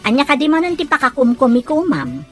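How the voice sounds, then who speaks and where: Nosy, animated neighbourhood auntie. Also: female voice, sits high, quick pace, soft background music. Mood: prying. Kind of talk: radio comedy drama acting.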